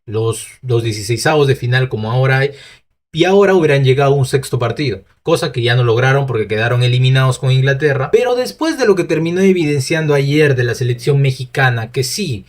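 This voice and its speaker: Peruvian Spanish man, mid-pitched, chatty solo pundit who restarts lines and asks himself questions. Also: animated, a little fast. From Peru. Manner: talkative, restarts often, rhetorical asides. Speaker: football opinion host. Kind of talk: solo opinion commentary.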